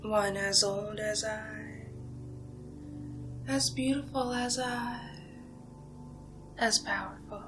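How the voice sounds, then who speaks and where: A woman playing an ancient, seductive female vampire in a whispered ASMR roleplay, taunting her prey in a breathy, theatrical way with drawn-out pauses. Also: mid-range voice, level tone, natural voice. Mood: solemn, ominous. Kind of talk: ASMR roleplay monologue, soft-spoken and whispered. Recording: music behind the voice. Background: music.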